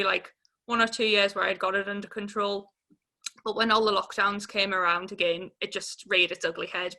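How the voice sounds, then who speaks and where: Woman in her twenties, bright forward voice, little pitch movement, informal hesitant phrasing, candid interview account. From Newcastle upon Tyne, England. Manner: informal, hesitant, peppered with 'like'.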